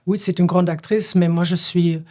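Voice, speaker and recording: A woman's voice: lowish voice, steady tone, normal speed, a little mellow. Hesitant, thoughtful German-native woman director. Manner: thoughtful, searching for words with many hesitations. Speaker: stage director. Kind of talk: reflective conversation.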